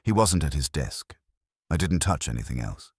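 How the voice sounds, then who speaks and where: A man voicing a nervous, evasive female office worker in a detective audiobook, hesitant and halting. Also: extremely low pitch, lively intonation, slightly rough, no room sound, studio quality, full character voice. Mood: nervous, defensive.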